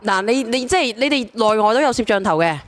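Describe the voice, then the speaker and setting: Female call-in host, fairly high voice, blunt and teasing, informal, repeats herself. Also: expressive, quick pace, noisy background. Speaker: live call-in show host. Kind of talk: casual live call-in talk.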